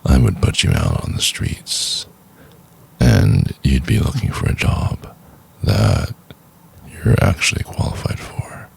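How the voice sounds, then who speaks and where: A man playing a cruel, domineering corporate executive in an intimate ASMR roleplay, condescending and drawn-out, with a gravelly, husky, half-whispered voice. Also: rumbling low, huge pitch swings, darkish tone, heavily acted. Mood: disdainful, belittling.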